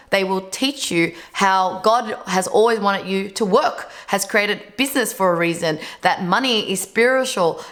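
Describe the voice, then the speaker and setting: A woman's voice: on the low side, a bit bright. A woman in her thirties who grew up in Vietnam and learned English as a second language, talking to camera in an earnest, chatty way as a business owner and channel host. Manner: earnest, chatty, many fillers. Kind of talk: casual to-camera talk on books.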